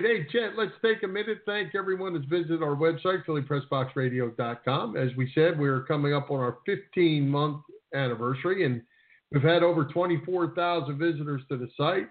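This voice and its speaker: A man hosting a sports radio show in a conversational style. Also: on the high side. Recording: narrow phone-like sound.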